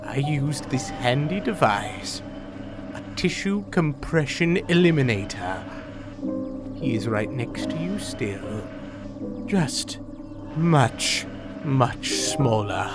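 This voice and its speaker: A man plays a menacing alien villain in an audio drama. He speaks slowly and deliberately, with condescending, silky politeness and calm control, while loud music plays behind him. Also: mid-range voice. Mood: coolly threatening.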